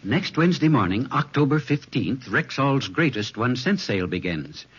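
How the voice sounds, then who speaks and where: A man delivering an old-time radio commercial in an emphatic, salesmanlike style with a husky voice. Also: mid-range voice, big pitch swings, normal speed. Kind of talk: 1940s-50s radio advertising. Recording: muffled old radio recording.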